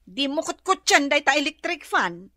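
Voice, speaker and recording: Very high-voiced Ilocano wife. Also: female voice, sing-song, moderate pace, bright, forceful tone, no room sound, clean studio sound, full character voice. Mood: exasperated. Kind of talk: radio comedy-drama acting.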